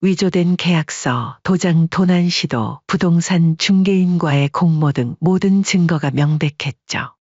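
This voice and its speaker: Husky narrator reading a story, even pace, muffled dry audio. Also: mid-range voice, unhurried, few pauses, no room sound.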